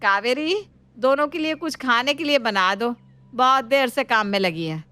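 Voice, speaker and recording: A woman's voice: on the high side, expressive, moderate pace, pushed and bright, smooth. A woman voicing a bossy middle-aged mother-in-law in a story, ordering and scolding.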